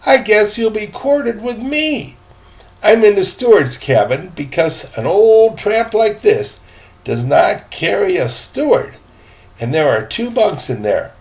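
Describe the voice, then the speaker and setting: An older man as a high-pitched, frank young boy. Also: very animated, unhurried, a little mellow, full character voice. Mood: frank, welcoming. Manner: plain-spoken, eager, wry. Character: young boy, frank, wry ship passenger.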